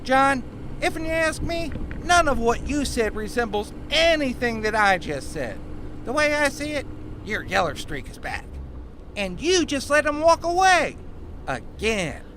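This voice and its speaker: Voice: high voice, big pitch swings, a little slow, background noise. An actor voicing an indignant, precocious country boy with a wordy, melodramatic manner in an audio drama. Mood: scornful, reproachful. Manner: melodramatic, wordy, folksy rural grammar.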